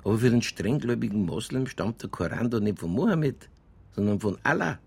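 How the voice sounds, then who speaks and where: A man playing a stubborn, sardonic homicide chief in a radio crime drama, with a Bavarian accent, a gravelly, husky voice and deadpan delivery. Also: fairly low pitch, brisk, exaggerated voice.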